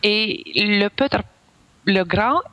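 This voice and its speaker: A middle-aged woman from Ukraine with a clear Ukrainian accent, speaking French as a second language. She talks in an unhurried, searching way, pausing often to find the right word. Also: medium pitch, sharp tone. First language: Russian. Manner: halting French with pauses and searching for words. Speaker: radio guest. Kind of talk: casual radio interview.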